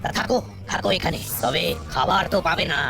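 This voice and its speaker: A woman voicing a curt, mocking and mysterious temple dweller of the forest in an animated fairy tale. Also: on the low side, fairly quick, creaky, over music. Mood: curt, sly.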